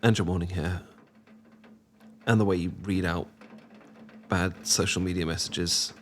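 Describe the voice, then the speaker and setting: A man acting as a tender, bashful young British man in a soft-spoken ASMR romance audio drama. His voice sits fairly low, and he stammers and hesitates as he gently teases. A clear wash of rain runs behind him. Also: steady tone, a little slow, slight background noise. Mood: playful, cozy. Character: shy, tender young man confessing love to old friend. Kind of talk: intimate ASMR boyfriend roleplay. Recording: clear background ambience of rain. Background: rain ambience.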